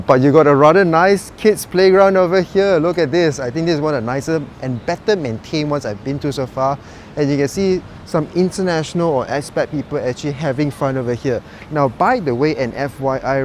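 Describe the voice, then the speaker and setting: A man's voice: mid-range voice, slight background noise. A young man with a Malaysian English accent chatting casually as he walks viewers through a residential complex on a property tour vlog. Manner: chatty, upbeat, colloquial with "lah" tags. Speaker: property vlogger. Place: outdoors and in a residential complex, walking around.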